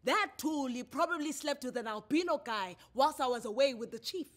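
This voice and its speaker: A woman's voice: high-pitched, animated, a little fast, fairly bright tone, voice pushed up. A woman with a South African accent voicing an aggrieved, insistent husband in a storybook reading. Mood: indignant. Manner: emphatic, insistent. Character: indignant, aggrieved husband in his cups.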